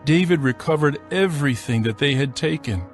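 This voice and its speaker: A man narrating scripture from a script in a solemn, measured storytelling manner. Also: on the high side, soft background music.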